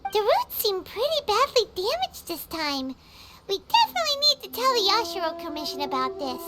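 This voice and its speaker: A woman voicing a small, chatty fairy companion in a video game, with a squeaky, childlike voice and chirpy, excitable delivery. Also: moderate pace, over loud music, full character voice. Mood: worried. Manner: chirpy, exclamatory.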